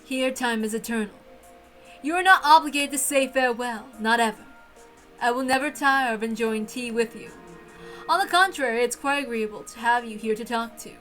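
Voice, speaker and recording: Woman acting a grand witch, high bright voice, imperious, theatrical, possessive, formal visual novel delivery. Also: moderate pace, faint music behind, natural voice, poor audio. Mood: insistent, fond. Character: grand, possessive golden witch, lonely and insistent.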